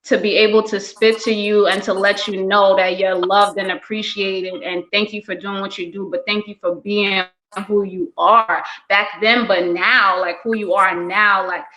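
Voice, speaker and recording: Bright, forceful woman from New York City. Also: medium pitch, level tone, normal speed, hardly any pauses, slight background noise, poor audio. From born in Uganda, raised in New York City. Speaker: guest performer. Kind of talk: earnest casual talk.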